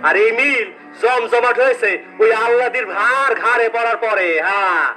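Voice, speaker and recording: A man's voice: very high voice, normal speed, sharp tone, faint music behind, low-quality recording. A man playing an insistent village man in an acted story drama, speaking colloquially. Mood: gossiping. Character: Kailash, insistent village man warning two aunts. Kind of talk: acted short-story drama in rural Bengali.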